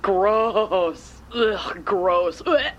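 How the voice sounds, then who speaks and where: A woman's voice: medium pitch, lively intonation, very slow, highs cut off, slight background noise. A woman acting as a blunt, playful sister who runs a superhero's comms in an audio drama, casual and sarcastic. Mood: blunt, disgusted.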